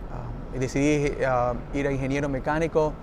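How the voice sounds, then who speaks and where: A man's voice: medium pitch, steady tone, moderate pace, noisy background. A man with an Ecuadorian Spanish accent, an engineer in a roundtable conversation, who talks with many restarts and laughs easily. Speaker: NASA engineer.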